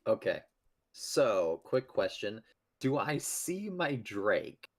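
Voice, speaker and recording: Slow-talking man at a game table. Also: fairly low pitch, sing-song. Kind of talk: casual game table chat.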